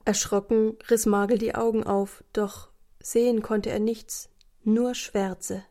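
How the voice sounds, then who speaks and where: Mellow female children's story narrator. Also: on the high side, flat delivery, slow.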